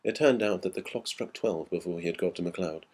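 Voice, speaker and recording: A man reading a ghost story dramatically, in a measured and reflective storytelling manner. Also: sits low, darkish tone. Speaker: audiobook reader.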